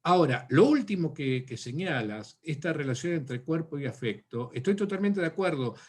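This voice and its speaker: A man's voice: a little high, expressive, normal speed, breathy edge. A man with an Argentine accent answering questions in an academic seminar, thoughtful and full of fillers and restarts. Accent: Argentine Spanish. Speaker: academic speaker.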